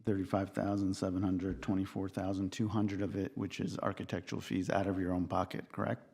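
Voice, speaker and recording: Deep-voiced insistent committee man. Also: completely flat delivery, a little fast, creaky, darkish tone, husky. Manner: probing, insistent, interrupts. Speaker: committee member. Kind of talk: questioning at a public meeting. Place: city council chamber.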